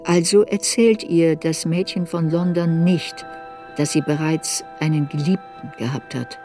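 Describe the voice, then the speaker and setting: A woman reading scripted literary narration for a radio play in a measured, reflective way. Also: low voice, mellow, soft background music.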